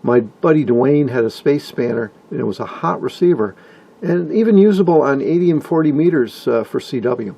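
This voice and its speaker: An older man narrating informally from a script, with a husky, gravelly voice and wry, folksy asides. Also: mid-range voice, expressive, normal speed, warm tone. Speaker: hobbyist video narrator. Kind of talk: informal scripted narration about vintage radios.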